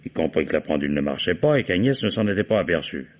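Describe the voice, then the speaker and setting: A shrewd, weary commissioner speaks in a deep voice. He is deliberate, musing and commanding, in old French radio mystery style. The sound is dull and dated. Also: big pitch swings. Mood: reflective, explaining. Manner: deliberate questioning with musing interjections. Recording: dull vintage radio drama recording.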